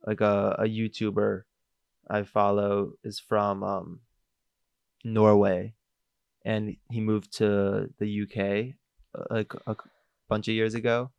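A young man co-hosting a podcast in relaxed, hesitant casual chat. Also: on the low side, slow pace, creaky, long pauses, clean tone, no room sound, muffled recording. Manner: relaxed, hesitant, many fillers. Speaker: podcast co-host.

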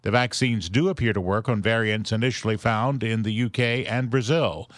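A man's voice: on the low side, normal speed, dry recording, studio quality. A man with an American accent reading a radio news bulletin as the anchor.

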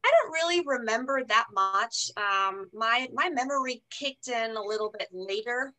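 Bright-voiced German woman telling her story. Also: a little high, normal speed, rough recording. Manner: storytelling with many fillers. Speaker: guest. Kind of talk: conversational interview.

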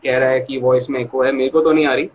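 A man's voice: mid-range voice, level tone, rapid-fire, phone-quality sound, a little hiss or hum. A young man with an Indian accent hosting a casual live online chat, switching between English and Hindi with a self-deprecating manner. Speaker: host. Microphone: earphones removed, online live call.

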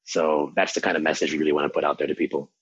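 A man, a language teacher, answering interview questions in an animated way and acting out quotes. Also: extremely low pitch, racing, slightly rough, highs cut off. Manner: animated explainer, acts out quotes. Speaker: language teacher, podcast guest.

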